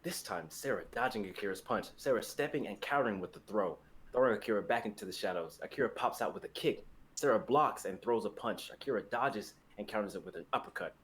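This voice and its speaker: A man's voice: lowish voice, lively intonation, fast. A young man reading stage directions as the narrator of a dramatic fantasy script. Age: young adult.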